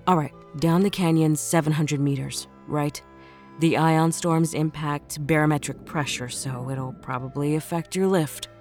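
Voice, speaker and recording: Tough human woman acted by a woman for audio drama: low-leaning voice, dry, casual, sardonic edge. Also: fairly even intonation, measured pace, a little mellow, soft background music. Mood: dry teasing.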